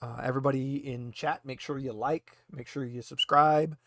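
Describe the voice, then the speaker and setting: A man narrating a tabletop roleplaying game as game master in a halting, conversational way. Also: mid-range voice, fairly even intonation, fairly bright tone.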